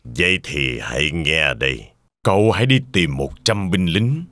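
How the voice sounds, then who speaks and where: A man's voice: a bass voice, lively intonation, measured pace, bright, forceful tone, no room sound, clean studio sound, exaggerated voice. A man playing a stern, demanding old king in a children's cartoon dub, speaking in a formal, commanding manner.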